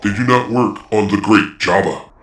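Man voicing a deep, echoing, haughty alien crime lord. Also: normal speed, a bit bright, natural voice. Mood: boastful threat. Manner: boastful, taunting. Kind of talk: fan audio drama acting. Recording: roomy echo, clear background noise.

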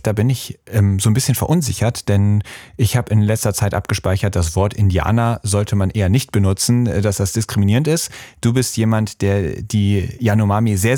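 A man from Germany hosting an interview, asking curious, measured questions. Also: on the low side, warm tone, no echo, studio quality. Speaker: podcast host. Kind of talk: interview conversation.

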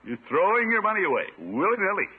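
Blustery pompous middle-aged uncle, swoopy husky voice, old radio comedy. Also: male voice, sits high, very animated, fast talker, exaggerated voice. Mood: smug. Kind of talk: 1950s radio sitcom acting. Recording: muffled 1950s radio broadcast.

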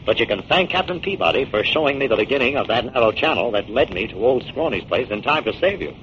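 A man's voice: medium pitch, expressive, fast talker, fairly bright tone, lightly acted. An actor playing a confident male detective in 1940s radio drama, explaining things in an assured way. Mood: reassuring. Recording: muffled, noisy 1940s radio recording.

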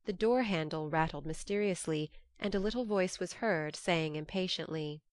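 A woman's voice: on the low side, moderate pace, dry recording. A woman narrating a classic novel as an audiobook reader, in scripted literary prose.

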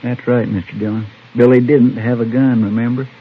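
An actor playing a loyal, folksy American deputy in a radio western, plain-spoken and deferential. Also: male voice, mid-range voice, fairly even intonation, brisk, husky, close to an everyday voice. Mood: earnest, concerned. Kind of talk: old-time radio western acting. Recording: tinny, hissy vintage radio recording.

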